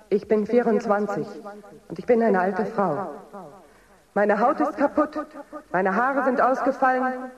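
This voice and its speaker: A woman's voice: a little high, darkish tone, lightly acted. An actress playing a prematurely aged young woman from a ruined world, passionate and defiant, in a German radio drama. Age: young adult. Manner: impassioned, declarative testimony. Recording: roomy, distant, slightly dull studio drama sound.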